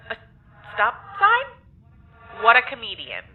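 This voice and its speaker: A woman's voice: fairly high pitch, huge pitch swings, slow, exaggerated voice. An actress playing a sarcastic, abrasive and unrepentant woman in an audio drama, delivered dryly and bluntly. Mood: irritated. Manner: dry, sardonic, blunt. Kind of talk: naturalistic audio drama acting. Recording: muffled lo-fi sound with clear noise.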